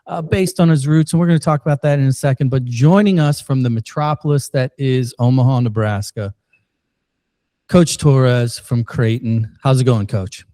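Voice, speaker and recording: Mellow-voiced podcast host, a man. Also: mid-range voice, no room sound. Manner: joking, rambling, halting questions. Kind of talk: podcast interview. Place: Missouri.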